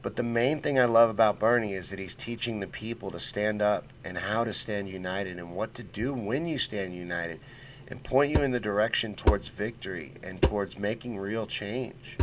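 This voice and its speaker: A low-voiced man hosts a casual livestream and answers chat comments. He talks bluntly, with folksy slang and fired-up conviction. His voice sits on the low side. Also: normal speed, background noise. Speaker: political livestream host.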